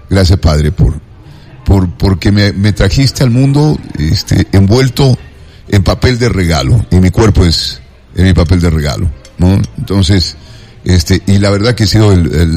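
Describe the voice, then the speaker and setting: Effusive, low-pitched Ecuadorian man hosting a show. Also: a little mellow, faint music behind. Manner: effusive, digressive, halting with repetitions. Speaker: talk show host. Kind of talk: casual rambling monologue and interview chat.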